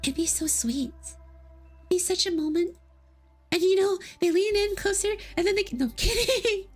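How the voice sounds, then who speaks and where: A VTuber woman with a very high voice chats casually with her viewers, playful and giggly as she teases them. Faint background music plays behind her. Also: normal speed. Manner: playful and giggly, teasing chat. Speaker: VTuber streamer. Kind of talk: casual livestream chat, answering would-you-rather questions.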